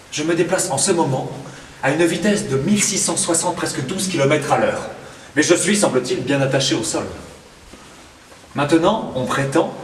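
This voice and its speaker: A man acting a slightly mad man in a theatre monologue, reasoning earnestly and deliberately, like a pedant reciting calculations. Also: on the high side, natural voice. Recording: echoey room, distant mic, faint noise.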